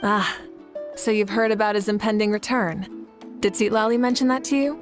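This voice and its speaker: A woman's voice: medium pitch, moderate pace, music in the background, natural voice. A woman playing a confident, kindly female ruler in a game, speaking in a composed, assured and friendly way. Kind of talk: game voice acting.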